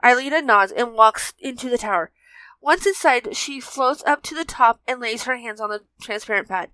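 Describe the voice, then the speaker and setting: A woman's voice: a little high, normal speed, pushed and bright, dry recording, poor audio. A woman narrating a scripted adventure story as its storyteller.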